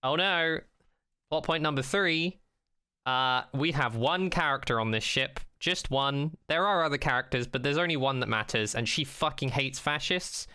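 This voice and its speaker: A man's voice: mid-range voice, normal speed, bright, forceful tone, smooth, no room sound, clean studio sound. A man co-hosting a podcast, chatting casually and jokingly with blunt, comically exaggerated emphasis. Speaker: podcast co-host. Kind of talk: casual, joking chat about sci-fi television.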